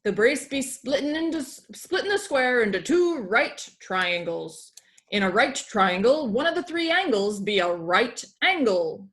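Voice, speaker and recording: A woman's voice: fairly high pitch, very animated, measured pace, a bit bright. A woman voicing a hearty pirate captain creature who teaches woodworking in declamatory pirate speech for a storybook. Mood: instructive.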